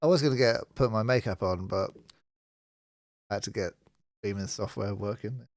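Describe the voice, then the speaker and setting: A young man co-hosting a casual live podcast, chatty and relaxed, laughing often. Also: fairly low pitch, normal speed, a crowd in the background. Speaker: podcast co-host, touring musician.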